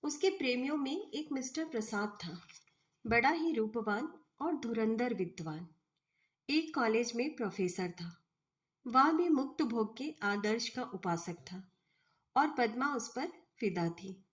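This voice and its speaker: Slow, higher-voiced woman storyteller. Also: animated. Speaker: storyteller reading a short story. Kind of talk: scripted literary narration.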